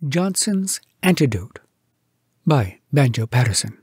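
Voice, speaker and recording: Expressive man reciting verse, lively pitch. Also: a little slow, husky, dry recording, studio quality. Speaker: poetry reader. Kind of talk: dramatic storytelling recitation of humorous verse.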